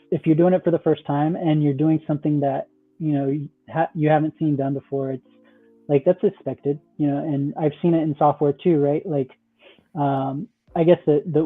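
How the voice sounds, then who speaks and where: A man with a fairly high voice comes through on a thin, phone-like connection. He answers interview questions casually, explaining things in loose, conversational phrases. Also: steady tone, normal speed, soft background music. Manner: loose, explanatory, peppered with "like" and "you know". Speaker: startup founder, interview guest. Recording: thin, phone-like call audio.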